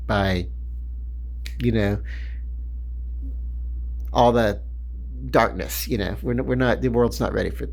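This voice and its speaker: A man in his fifties with a voice that is high-pitched for a man, talking in a rambling, halting monologue full of fillers and restarts. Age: 50s. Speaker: writer talking to his channel.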